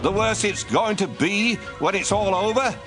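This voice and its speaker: A man's voice: sits high, moderate pace, music in the background, natural voice. An actor playing an urgent, pleading army general in a Bible audio drama, projecting his lines. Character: embattled army general shouting across the battlefield, urgent, pleading.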